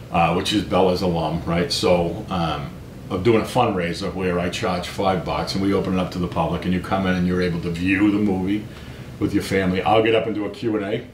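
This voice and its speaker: A man talking as an interview guest, earnest and emphatic, often checking in with a questioning tag. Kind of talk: conversational interview. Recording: roomy echo, low rumbling background noise.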